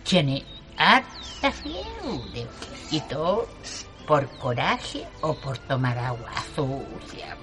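A woman's voice: low-pitched, wildly swooping pitch, music in the background, exaggerated voice. A woman playing a homely, confident country folk healer in a radio drama, with a rural Chilean accent and a folksy, repetitive way of talking. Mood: pitying, folksy. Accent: rural Chilean Spanish. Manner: folksy, repeats words, drawn-out reassurance.

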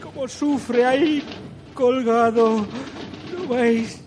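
A man reciting poetry theatrically, in a dramatic manner, as a performer acting out the verses. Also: very high voice. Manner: dramatic, slow, imitates drums and trumpet with the voice. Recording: loud music behind the voice.

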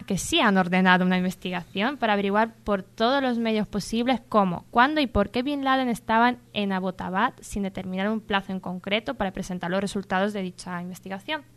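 A woman's voice: medium pitch, smooth. A woman contributor reading the news on an informal radio show, conversational and correcting herself when she stumbles. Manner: conversational, stumbles and self-corrects while reporting.

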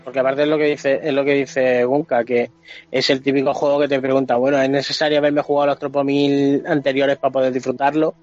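A man's voice: mid-range voice, level tone, fairly quick, a little mellow. A man with a Spanish accent from Spain, co-hosting a casual video game chat in colloquial, sweary language. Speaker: podcast co-host. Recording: muffled lo-fi podcast, music underneath.

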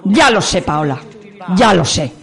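A woman's voice: on the low side, big pitch swings, a little slow, gravelly, heavily acted. A woman with a Spanish accent playing a hurt, reproachful businesswoman in an amateur radio soap opera. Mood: cold, resigned. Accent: Spain. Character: hurt, reproachful businesswoman who feels betrayed by lover.

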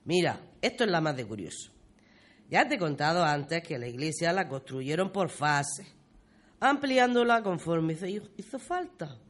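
A performer playing a talkative, fond old grandmother, reminiscing in folksy, expressive style in a community radio play. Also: medium pitch, moderate pace. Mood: chatty, storytelling. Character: talkative, fond old village grandmother telling local history.

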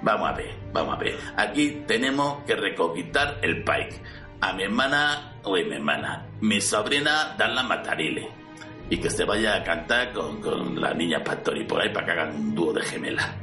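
A man's voice: low voice, expressive, normal speed, pushed and bright, full character voice. A man with a Spain Spanish accent playing a boastful, irritable, foul-mouthed fallen conqueror in an amateur parody audio drama, blunt and demanding. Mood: scheming, scornful. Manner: blunt, demanding, incredulous, swaggering. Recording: roomy, distant mic, music underneath.

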